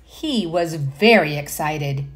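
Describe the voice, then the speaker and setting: A woman reading a children's picture book aloud as a storyteller, pausing to comment and put questions to young listeners. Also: sits low, expressive.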